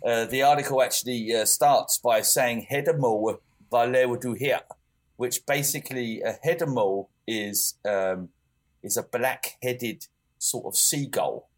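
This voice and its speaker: Casual chat; young male, low register, rambling delivery. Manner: rambling, many fillers and restarts. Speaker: podcast co-host.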